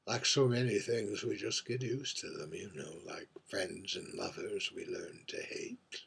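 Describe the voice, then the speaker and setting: Male actor as older drag queen, stage monologue: husky, unhurried, camp, wry. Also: low-pitched, a bit bright, voice pushed down, full character voice. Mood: wistful, theatrical. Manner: camp, musing, tag questions and endearments. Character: aging world-weary drag queen, wry and theatrical, drinking at bar. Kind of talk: theatrical monologue.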